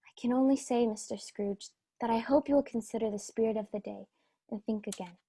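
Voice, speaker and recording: Woman as high-voiced, earnest charity lady. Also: a little fast, warm tone, husky. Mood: earnest pleading. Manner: polite, formal, pleading. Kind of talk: amateur radio play acting.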